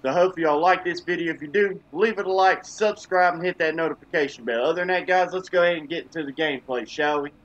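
A man's voice: fairly high pitch, fast, few pauses, fairly bright tone, lo-fi sound. A man giving casual, laid-back gameplay commentary as a gaming video host, with informal, slangy phrasing. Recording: game music behind the voice. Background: video game music.

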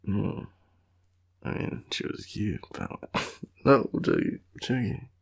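A man's voice: fairly low pitch, huge pitch swings, slow, gravelly, slightly rough. A tired man with an Australian accent, murmuring and rambling drowsily as a clingy, teasing boyfriend in an intimate roleplay, often half-whispering. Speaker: audio roleplay performer playing a boyfriend.

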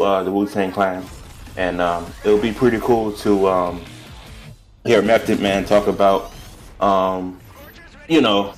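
A man giving casual gaming news commentary as a YouTuber, with a halting, hesitant delivery. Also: on the low side.

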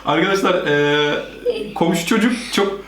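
A man's voice: sits high, expressive, a little slow, crowd noise. Man reacting casually, roomy sound. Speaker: host, father reacting with his child. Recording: roomy home recording, music behind.

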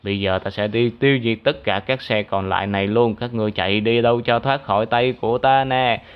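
A man voicing a big, vengeful toothy monster with gloating, drawn-out threats in a children's cartoon. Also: fairly low pitch, fairly even intonation, clean tone, muffled recording, lightly acted. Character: big blue long-tongued toothy monster, vengeful, cruel.

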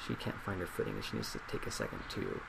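Male, twenties, ASMR psychologist role play: heavy vocal fry, reflective delivery, loud fan noise. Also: fairly low pitch, fast talker. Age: 20s. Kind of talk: soft-spoken ASMR role play, reading responses and reflecting. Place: small indoor office at a desk. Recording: steady loud laptop fan hiss.

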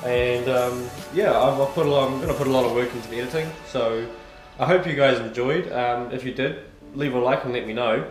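Hoarse young New man vlogging. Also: mid-range voice, a little fast, hardly any pauses, music in the background, poor audio. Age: young adult. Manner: chatty, upbeat, filler-heavy. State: hoarse with a cold. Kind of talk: casual vlog chat to camera. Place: living room at home, indoors.

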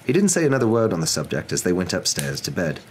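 Very deep young male storyteller. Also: wildly swooping pitch, quick pace, a little hiss or hum. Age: young adult. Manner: soft-spoken, dramatic. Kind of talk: ASMR story narration.

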